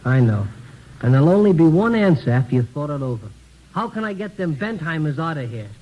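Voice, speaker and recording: A man's voice: on the high side, big pitch swings, a little fast, lo-fi sound. An actor playing a talkative, down-on-his-luck American janitor and father in early 1950s radio comedy-drama, rambling, stammering and self-deprecating. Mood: humble, resigned.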